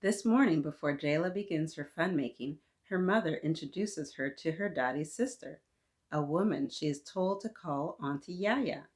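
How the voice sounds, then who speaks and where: A woman's voice: low-pitched, normal speed, a little mellow. A woman narrating a children's picture book expressively as a storytime reader.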